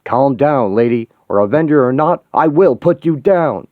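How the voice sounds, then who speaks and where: A man's voice: medium pitch, expressive, moderate pace, no echo, pitched above the performer's own voice, lightly acted. A man playing a stern commanding soldier hero. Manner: firm, clipped orders. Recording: tinny phone-like audio, dry.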